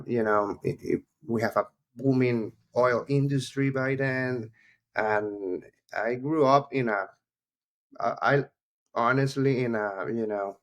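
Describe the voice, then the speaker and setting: A Venezuelan man with a Spanish accent, a journalist guest talking casually on a video call, friendly and peppered with "you know". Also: mid-range voice. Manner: friendly, speaks steadily with "you know" fillers. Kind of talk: casual interview.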